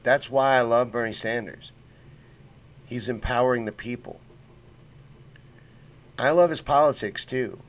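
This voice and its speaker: A man's voice: mid-range voice, unhurried, long pauses. A man hosting a casual political livestream, reading chat comments and talking bluntly with fired-up conviction and folksy slang.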